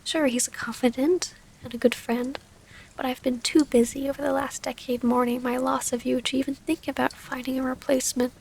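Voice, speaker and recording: A loving vampire lady, acted by a woman for an intimate ASMR romance. Her voice is high and breathy, often near a whisper, with a heavy creak at the edges. She speaks in formal, old-fashioned phrases, wistful and gently exasperated, over falling rain and faint noise. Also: flat delivery, fairly quick, rough recording. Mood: teasing, amused. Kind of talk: ASMR romantic audio roleplay.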